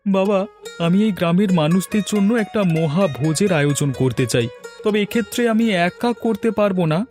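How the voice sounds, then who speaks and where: A man's voice: high-pitched, moderate pace, darkish tone, soft background music, close to an everyday voice. A man voicing an earnest, respectful young village son-in-law in a cartoon fairy tale, polite and well-meaning. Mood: earnest, humble.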